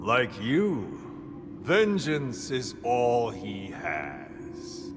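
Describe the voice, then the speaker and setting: An actor playing a menacing, vengeful villain commander in a video game, speaking in portentous, theatrical monologues. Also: male voice, mid-range voice, huge pitch swings, very slow, background noise, heavily acted. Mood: grandiose, brooding.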